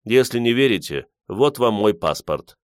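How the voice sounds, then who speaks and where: A man's voice: medium pitch, normal speed, dry recording, studio quality, voice pushed up, lightly acted. A man voicing a courteous, self-assured eccentric inventor in his sixties, speaking politely and formally in an audiobook. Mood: calm, weighty. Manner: polite, calm, weighty formal speech. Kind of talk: audiobook character dialogue.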